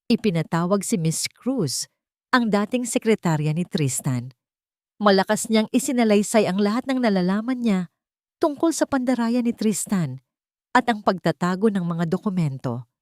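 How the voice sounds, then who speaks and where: A woman's voice: mid-range voice, lively intonation, moderate pace, pauses often, a little mellow, no echo, clean studio sound. A woman reading a scripted dramatic story as narrator, in a solemn, theatrical manner.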